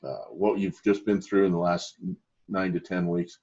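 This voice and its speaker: A man interviewing a local business owner, polite and halting with many "um"s. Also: low voice, darkish tone. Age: middle-aged or older. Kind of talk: community interview. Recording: dull lo-fi sound.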